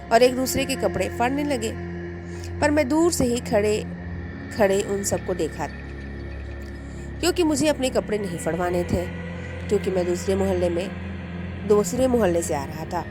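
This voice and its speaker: A woman telling a first-person horror story, dramatic, rising with suspense and dropping to a hush in tense moments. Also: mid-range voice. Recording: music bed under the voice.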